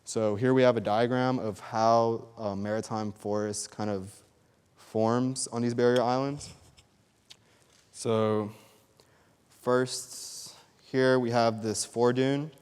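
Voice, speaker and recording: A young man giving a student research presentation in a steady, slightly halting way with fillers. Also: medium pitch, smooth, big-room sound. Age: young adult. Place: lecture hall.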